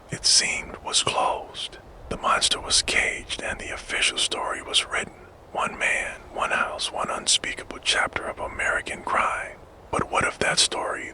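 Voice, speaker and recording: A man telling a true crime story for sleep in a fully unvoiced ASMR whisper, with slow, suspenseful, dramatic phrasing. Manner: fully unvoiced whisper throughout, soft and deliberate. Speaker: narrator.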